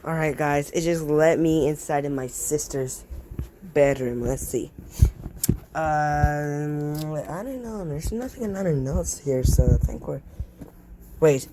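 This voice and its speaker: A woman's voice: low voice, slow pace. A woman giving casual commentary, hesitant and thinking aloud as she goes. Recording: faint background noise with clicks.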